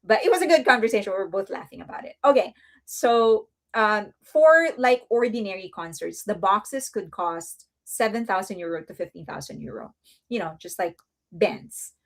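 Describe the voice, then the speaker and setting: A woman's voice: mid-range voice, lively intonation, normal speed. Chatty woman with an accent. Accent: non-native English accent. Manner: chatty, rambling, laughs often; speaks softly and coos to a baby at times. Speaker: host of a fan news channel. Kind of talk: casual livestream chat.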